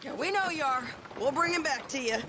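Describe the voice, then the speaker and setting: A woman's voice: high-pitched, expressive, brisk, heavy background noise. An actress playing a blunt, determined frontier gunwoman, in a video game cutscene. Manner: blunt, commanding.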